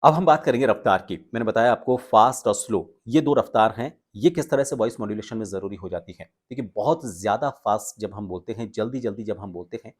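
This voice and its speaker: A man presenting a lesson as an instructor, explaining deliberately and pausing for emphasis. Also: on the low side. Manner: measured, explanatory, deliberate phrasing with pauses for emphasis. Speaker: voice training instructor. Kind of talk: instructional talk on voice modulation, presenting to viewers.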